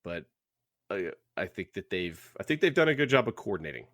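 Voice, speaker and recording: A man's voice: sits low, very animated, brisk, fairly bright tone, dry recording, clean studio sound. A man co-hosting a book podcast in casual discussion, opinionated and circling back over his phrases as he talks. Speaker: podcast co-host.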